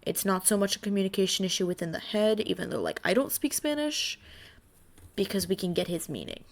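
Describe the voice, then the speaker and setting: A woman talking casually and unscripted to viewers in a vlog, halting and pausing often. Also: mid-range voice, lively intonation, gravelly. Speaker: vlogger.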